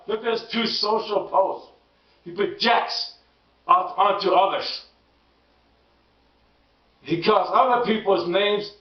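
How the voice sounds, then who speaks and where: A hoarse man who stammers and repeats words, giving an emphatic casual political monologue. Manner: emphatic, rising pitch when indignant. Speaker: political commentary vlogger. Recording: roomy distant lo-fi sound.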